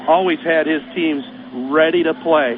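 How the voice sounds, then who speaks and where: A man with a Midwestern American accent talks up a high school basketball game for local radio, folksy and chatty. His voice sits a little high. The sound is narrow and phone-like, with a faint hiss behind it. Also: a little slow. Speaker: play-by-play announcer. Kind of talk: radio sports pregame talk.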